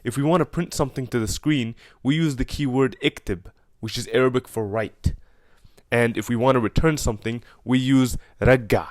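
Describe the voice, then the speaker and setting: A man's voice: mid-range voice, moderate pace, dry recording. Dry-sounding young man with an Egyptian accent. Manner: relaxed, with fillers and self-corrections. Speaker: programmer explaining his project. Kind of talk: casual coding walkthrough.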